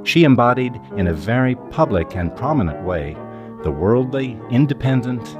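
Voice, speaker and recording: An older man hosting a podcast, giving scripted documentary narration in a measured, emphatic storytelling manner. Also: lowish voice. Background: music.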